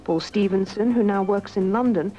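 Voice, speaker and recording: A woman reading a local radio news item in a steady, formal way. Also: medium pitch, normal speed, highs cut off, soft background music. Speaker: newsreader.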